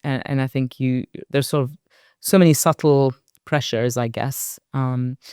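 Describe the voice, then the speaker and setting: A woman in her forties from Iraq, a company board director, talking reflectively as a guest in an interview, measured and thoughtful with soft fillers. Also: extremely low pitch, fairly even intonation, clean tone, no room sound, studio quality.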